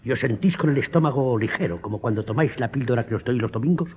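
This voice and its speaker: An actor playing a grave, commanding doctor in a radio drama, questioning sternly in a raspy, breathy voice. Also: male voice, mid-range voice, animated, quick pace. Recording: dull lo-fi sound.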